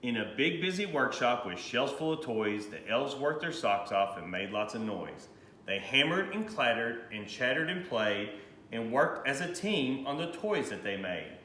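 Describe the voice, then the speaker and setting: Animated man reading a storybook, bright voice. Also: medium pitch, normal speed. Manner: expressive, animated reading for children. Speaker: high school principal reading a picture book aloud. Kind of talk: storybook read-aloud in rhyming verse. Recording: roomy echo, faint background noise.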